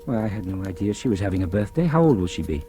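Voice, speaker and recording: A man's voice: lowish voice, big pitch swings, very fast, dark tone, soft background music. An actor playing an evasive, hesitant man with a studied casualness in an old radio mystery drama. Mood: casual, probing. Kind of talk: 1940s radio drama acting.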